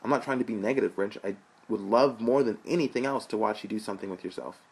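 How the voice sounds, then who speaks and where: Man reading a judgmental, pleading younger brother; husky voice. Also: fairly low pitch, quick pace. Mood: skeptical. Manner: earnest, pleading, lecturing. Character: earnest young college-bound brother, judgmental.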